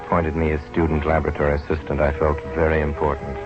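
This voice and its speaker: An actor playing an earnest young medical student in a radio drama, speaking and narrating with deliberate sincerity. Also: male voice, very deep voice, monotone, music in the background. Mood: reflective narration. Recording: dull old radio recording.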